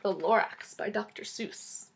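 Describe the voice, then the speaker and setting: A woman reading a children's book aloud in an expressive storytelling style, drawing out key words for emphasis. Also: medium pitch, unhurried, vocal fry, background noise.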